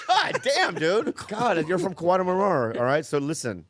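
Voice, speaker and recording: A man's voice: sits high, huge pitch swings, unhurried, a bit bright. A man co-hosting a comedy podcast, questioning his guest playfully and with mock disbelief, laughing often. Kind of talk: casual comedic podcast banter.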